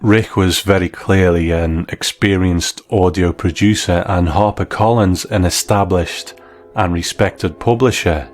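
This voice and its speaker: A man hosting a documentary-style programme, narrating scripted material in a measured, explanatory way. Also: low voice, fairly even intonation. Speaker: host, interviewer.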